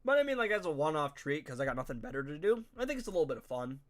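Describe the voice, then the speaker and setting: A young man with a nasal, stuffed-up voice gives loose gameplay commentary to his live chat. He talks in a chatty, slangy way, and his voice sits a bit on the higher side. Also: sing-song, very fast. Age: young adult. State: stuffy, congested nose. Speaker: game streamer.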